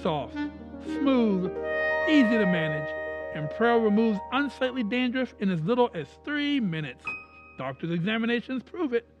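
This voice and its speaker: A man acting an enthusiastic 1940s sponsor announcer. His high voice is polished and eager, with slightly lo-fi sound and music around it. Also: big pitch swings, moderate pace. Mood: upbeat selling. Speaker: radio announcer. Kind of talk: 1940s radio announcing and ad reading.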